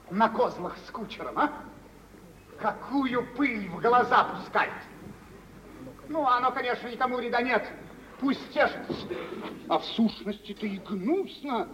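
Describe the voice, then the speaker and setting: A touchy, resentful gentleman suitor in a vintage Russian radio play. His voice is remarkably high and leaps about as he declaims. Every phrase is loaded with excitable sarcasm. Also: unhurried, long pauses, slight background noise, heavily acted, rough recording. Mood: sneering. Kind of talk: 1950s Russian theatrical radio drama.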